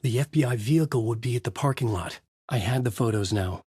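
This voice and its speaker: An actor playing a tormented, haunted horror novelist, narrating a video game in grave, brooding noir fragments with a husky voice. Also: male voice, medium pitch, a little fast, a little mellow, no echo, clean studio sound. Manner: grave, literary, brooding delivery in short fragments.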